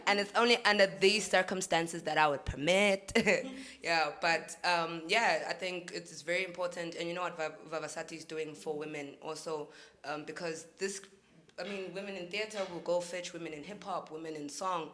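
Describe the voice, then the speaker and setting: A woman's voice: fairly low pitch, pushed and bright. A woman on a discussion panel who works as an activist, speaking frankly and confidently with joking asides. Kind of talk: casual panel talk.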